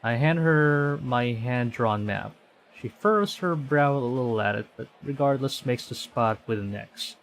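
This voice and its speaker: A man's voice: mid-range voice, lively intonation, measured pace, low-quality recording. A man reading a visual novel aloud on stream, conversational, often stumbling and correcting himself. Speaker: VTuber streamer.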